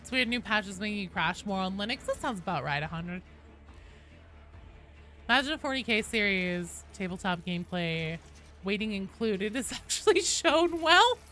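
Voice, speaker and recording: A woman's voice: mid-range voice, lively intonation, moderate pace, bright, forceful tone. A woman in her late thirties chatting casually on a game livestream and reading out viewer messages, informal and sweary. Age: late 30s. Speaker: game streamer.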